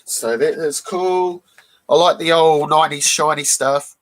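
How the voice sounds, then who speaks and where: A man with a slightly high, croaky voice worn rough by a cold hosts a relaxed live stream. He chats loosely with his viewers, greets them by name and stops often to clear his throat. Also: a little slow. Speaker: live stream host showing comic books. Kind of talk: casual live stream chat.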